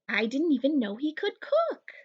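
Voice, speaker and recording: A woman's voice: sits high, wildly swooping pitch, moderate pace, lo-fi sound. A woman voicing an easily fooled mother in a storybook, with exaggerated exclamations. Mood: pleasantly surprised. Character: easily fooled mother, delighted then loudly disgusted.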